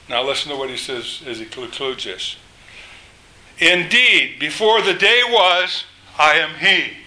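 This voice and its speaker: An older man preaching a sermon, reading scripture aloud with emphatic, drawn-out phrasing and a loud voice. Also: fairly high pitch, rough recording. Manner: slow, emphatic delivery, rises when quoting scripture.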